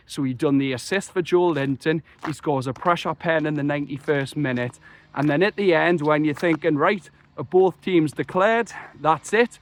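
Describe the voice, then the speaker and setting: A young man, croaky after a chest infection, talking passionately and rambling through a football match reaction. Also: on the high side. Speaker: football fan vlogger. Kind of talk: excited solo match reaction.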